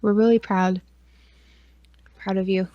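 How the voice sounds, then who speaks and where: A woman's voice: mid-range voice, little pitch movement, measured pace, dry recording, lo-fi sound. A woman co-hosting a casual livestream with goofy, crude, sweary banter. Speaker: podcast stream co-host.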